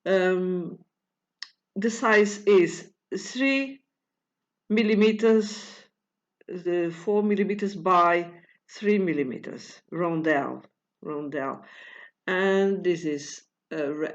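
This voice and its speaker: Slow, hesitant Portuguese-accented woman. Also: fairly low pitch, muffled recording. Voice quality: many pauses and fillers, searching for words. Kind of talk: hesitant tutorial explanation in a second language.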